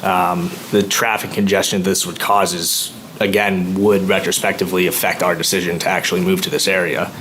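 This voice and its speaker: A man with a deep voice gives public comment at a town meeting and holds his pitch flat. He sounds nervous and halting and fills the gaps with ums. Faint noise sits under the room audio. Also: rough recording. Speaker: resident speaking at public comment.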